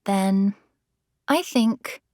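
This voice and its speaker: Woman playing an expressive, earnest AI companion. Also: mid-range voice, drawn-out words, no echo, studio quality. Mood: tentative, hopeful. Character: earnest, curious young female AI companion, gentle. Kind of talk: audiobook character dialogue.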